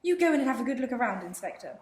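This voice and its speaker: A young actress playing a fussy, house-proud holidaymaker wife in a school radio play. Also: female voice, on the high side, big pitch swings, very fast, a little mellow. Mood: fussy chatty. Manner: chatty and fussy. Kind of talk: amateur radio play acting. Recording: roomy echo, faint hiss.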